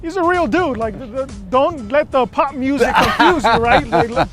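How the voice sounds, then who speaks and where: A man with an extremely high-pitched voice giving casual interview answers. He repeats words for emphasis, and faint music plays behind him. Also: expressive, moderate pace, crowd noise. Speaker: interview guest.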